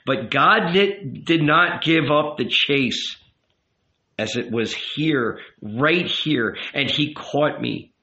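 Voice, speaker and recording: A man's voice: on the high side, takes it slowly, pushed and bright, exaggerated voice. A man voicing an old rabbi in an audiobook, tender and earnest, coaxing and emphatic as he counsels. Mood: wistful confiding. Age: older. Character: old rabbi uncle, tender, pleading, gently commanding confessor. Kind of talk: dramatic audiobook character reading. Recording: roomy, echoing, slightly dull sound.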